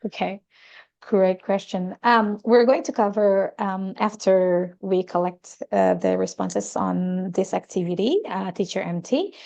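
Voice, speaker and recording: A woman whose first language is Indonesian presents a teaching webinar, speaking in English with many hesitant fillers as she explains software features. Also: medium pitch, a little slow, creaky.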